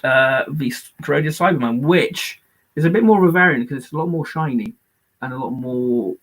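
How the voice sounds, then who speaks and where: A man hosting a live-stream and chatting casually about his collection. He rambles enthusiastically with many fillers and is losing his voice, so he sounds a bit hoarse. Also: medium pitch, normal speed, fairly bright tone.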